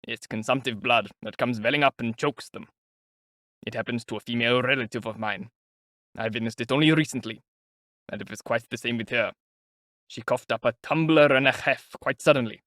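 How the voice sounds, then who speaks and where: A man voicing a middle-aged civil servant who speaks calmly and mutters in an audiobook. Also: brisk, no room sound, clean studio sound, natural voice. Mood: muttering. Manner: soothing, then low muttering.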